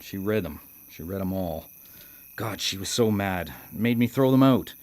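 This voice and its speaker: A brooding, confessional serial killer. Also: male voice, sits low, animated, darkish tone. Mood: wistful, bitter. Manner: confessional, menacing, halting. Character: brooding guilt-ridden male serial killer, haunted by his mother. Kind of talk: radio drama acting. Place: live radio drama broadcast.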